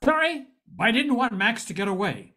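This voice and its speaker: A woman's voice: on the high side, very animated, moderate pace, fairly bright tone. A woman playing an earnest, resourceful male private eye in an old-time radio detective drama. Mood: determined.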